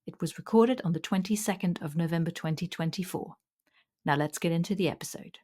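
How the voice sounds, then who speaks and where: A woman's voice: fairly low pitch, fairly quick, a little mellow. A woman hosting a podcast, reading a scripted introduction and then interviewing her guest. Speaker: podcast host.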